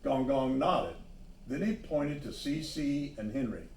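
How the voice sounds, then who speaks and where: Man, children's storybook narration, slow pace, asides on pictures, distant mic, echoey room. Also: medium pitch, expressive, vocal fry, darkish tone. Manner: pauses to point out the pictures. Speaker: storybook reader.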